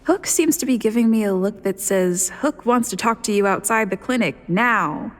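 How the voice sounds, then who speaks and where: An actress voicing a wry game heroine's inner monologue, with a reverberant thought effect. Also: female voice, medium pitch, animated, moderate pace. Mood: wry, musing. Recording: long reverb on the voice.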